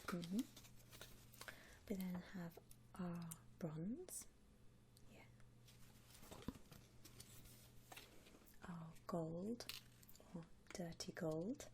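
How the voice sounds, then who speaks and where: A woman whispering softly and breathily in an attentive, gentle customer-service ASMR roleplay, close to the microphone. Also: lowish voice, very slow, pauses often, background noise. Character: polite, attentive female vampire coffin-shop consultant. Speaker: ASMR roleplay performer, playing a shop consultant.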